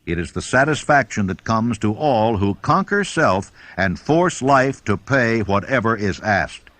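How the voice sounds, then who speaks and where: A man narrating a self-help audiobook, giving measured, instructive readings of scripted text. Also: lowish voice, expressive, a bit bright, no room sound. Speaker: audiobook reader.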